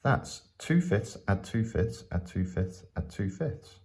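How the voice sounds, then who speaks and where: A man's voice: on the low side, big pitch swings, slow, a little mellow. A man teaching maths step by step in a patient, explanatory way, going back over his points.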